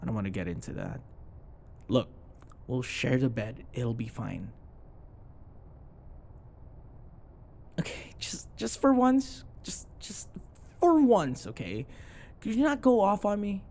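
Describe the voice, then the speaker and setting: A man's voice: mid-range voice, big pitch swings, a little slow, creaky, darkish tone, full character voice. A man acting a grumpy, sarcastic office rival who grudgingly cares, in an intimate, blunt, conversational roleplay style. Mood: tired, irritated. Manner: exasperated, blunt, conversational, sighing.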